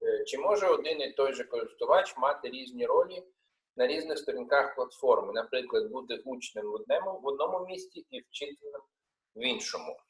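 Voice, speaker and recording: A male moderator reads out audience questions at a steady mid pitch, switching between two languages as he goes. The sound is roomy and echoey. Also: a little fast. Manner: reads questions aloud, mixing English and Ukrainian.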